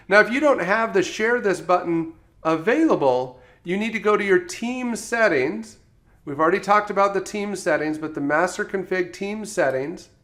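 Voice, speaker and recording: A man presenting software training in a step-by-step instructional tutorial style, as a voice-over. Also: high-pitched. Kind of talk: scripted tutorial narration.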